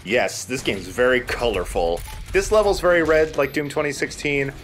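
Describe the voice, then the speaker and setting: Animated man doing gameplay commentary. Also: mid-range voice, normal speed, a little hiss or hum. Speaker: gaming let's play host, player.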